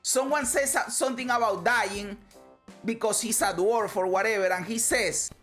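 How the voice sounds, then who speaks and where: A woman's voice: fairly high pitch, normal speed, a bit bright, soft background music. A woman from Venezuela, giving a casual motivational monologue, emphatic, swearing freely and repeating phrases. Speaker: vlogger.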